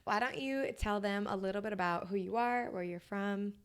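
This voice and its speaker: A woman's voice: mid-range voice, moderate pace, smooth. A woman in her thirties hosting a podcast interview, chatty and encouraging toward her guest. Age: 30s. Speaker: podcast host. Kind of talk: casual interview.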